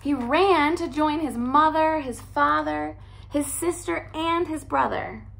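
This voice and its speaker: A young woman, a teacher, reading a children's picture book aloud in an animated, expressive way at home. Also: high-pitched, slow, creaky, a little hiss or hum. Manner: animated, expressive storytelling with character voices. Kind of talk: storybook read-aloud. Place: living room by a fireplace, indoors.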